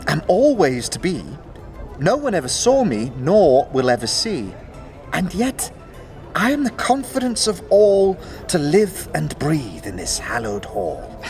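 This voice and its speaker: Fantasy audio drama: giddy, mocking riddle-master skeleton, stammering archaic speech, highish voice, extremely animated delivery. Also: male voice, measured pace, faint music behind. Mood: playful. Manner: cackling, stammering, archaic thee-and-thou speech.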